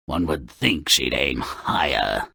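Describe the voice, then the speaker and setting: An actor voicing a cynical, gleefully wicked little gremlin who narrates fairy tales in a video game, with a gravelly rasp and a sarcastic, theatrical drawl. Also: male voice, rumbling low, animated, takes it slowly, pushed and bright, dry recording, studio quality, full character voice. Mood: sardonic. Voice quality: raspy, gravelly.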